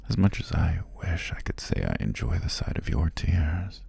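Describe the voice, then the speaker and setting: A man playing a cruel, possessive stalker captor in an intimate ASMR roleplay, speaking in a breathy, condescending, taunting murmur that often drops near a whisper. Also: a bass voice, sing-song, normal speed, dark tone, exaggerated voice.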